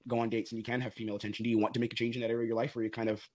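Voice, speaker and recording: A man's voice: mid-range voice, little pitch movement, very fast, a little mellow, no room sound. A man in his late twenties in a probing, conversational sales call, working as the closer. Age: late 20s.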